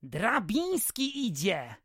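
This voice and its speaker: A man's voice: high-pitched, huge pitch swings, very slow, fairly bright tone, slightly rough, no room sound, clean studio sound, heavily acted. An actor playing a jovial, folksy old village grandpa who drinks with his pals in an audio drama. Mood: hearty, wary. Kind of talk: Polish audio drama acting.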